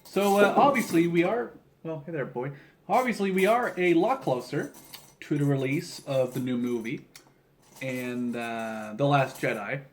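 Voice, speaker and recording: A man's voice: a little high, animated, unhurried, a little hiss or hum. A man in his early twenties chatting casually in a rambling vlog style, informal and often interrupting himself with jokey asides. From Canada. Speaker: video creator building a toy model. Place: small room at home, seated at a table indoors. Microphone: camera on tripod about a metre or two away.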